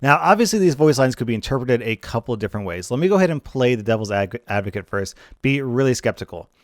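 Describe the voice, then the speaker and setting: A man's voice: medium pitch, big pitch swings, quick pace, no room sound, clean studio sound. A man hosting a gaming video with casual, conversational commentary, often repeating phrases for emphasis.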